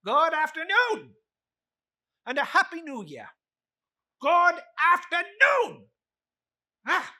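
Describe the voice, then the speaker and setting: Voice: very high voice, wildly swooping pitch, slow, long pauses, sharp tone, pitched above the performer's own voice, exaggerated voice. Someone acting out a grumpy stingy old man, drawn out and scornful, swinging up and down, storybook reading. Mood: dismissive, angry. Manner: scornful, emphatic, grumbling. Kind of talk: dramatic reading of a classic story.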